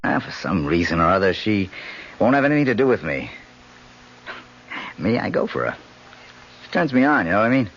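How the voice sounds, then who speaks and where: An actor playing an easygoing, plain-talking police officer with casual, slangy speech in an old-time radio mystery. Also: male voice, on the low side, very animated, a little fast, long pauses, a bit bright, exaggerated voice. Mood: casual, wry. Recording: dull lo-fi old radio recording.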